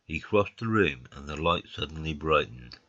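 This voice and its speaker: A man plays a loyal friend telling a mystery in the first person. The voice is extremely deep and husky, on a slightly dull recording with no room sound. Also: expressive, normal speed, sharp tone, no room sound, heavily acted. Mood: tense. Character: male narrator, loyal friend of the detective. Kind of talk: scripted first-person story narration.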